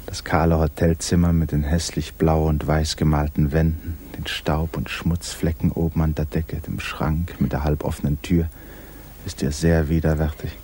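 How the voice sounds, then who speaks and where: A man narrating a 1950s German literary radio drama with measured, intimate storytelling. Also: very deep voice, little pitch movement, mellow, husky. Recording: hissy 1950s radio recording.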